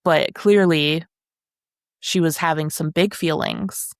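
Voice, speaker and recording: Wry lady podcast host, kinda low voice, slow easy talker, casual and a bit sweary. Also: no room sound, clean studio sound. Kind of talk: casual book discussion chat.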